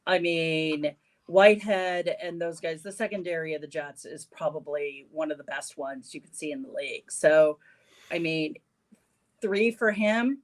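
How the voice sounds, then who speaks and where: A woman's voice: sits low, a little slow. A woman panelist in a casual football chat who talks candidly and laughs mid-sentence.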